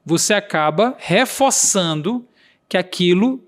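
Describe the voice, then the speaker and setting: High-voiced earnest man explaining. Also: expressive, a little slow. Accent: Brazilian Portuguese regional accent. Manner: earnest, explanatory, acts out quoted dialogue. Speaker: podcast guest. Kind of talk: explaining in a podcast conversation.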